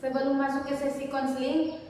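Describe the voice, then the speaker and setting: High-pitched woman leading a group session in an echoey room. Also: a little fast. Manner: calm, instructive, addresses group as "adik-adik". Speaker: student playing a group counselor. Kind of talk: role-played counseling session. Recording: echoey room from far off, some noise.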